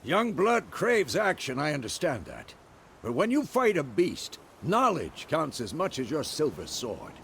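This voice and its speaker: An actor playing a gruff, stern old male witcher mentor in a game cutscene. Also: on the high side, measured pace, a little hiss or hum, exaggerated voice. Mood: lecturing. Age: older. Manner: stern, grumbling, lively delivery. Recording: game cutscene with loud music.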